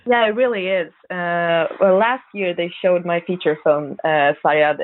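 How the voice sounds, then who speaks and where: A woman speaking as a podcast guest co-host, chatting casually about horror films in hesitant, halting phrases. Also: lowish voice, fairly even intonation. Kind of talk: casual horror film chat. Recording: narrow phone-like call audio, dry.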